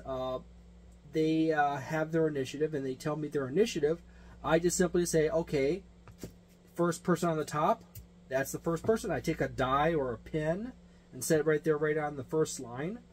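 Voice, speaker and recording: A man giving a casual, unscripted hobby review and explanation, rambling and correcting himself with emphatic delivery. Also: fairly high pitch. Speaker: tabletop gaming reviewer and game master. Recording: noticeable background noise with hum.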